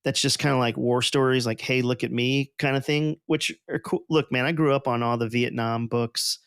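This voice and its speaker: Podcast host guy, smooth resonant voice, dry close mic, rambly and swears a lot. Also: mid-range voice, fairly even intonation, moderate pace, fairly bright tone, no room sound. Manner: casual and profane, rambling with restarts. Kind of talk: casual podcast interview.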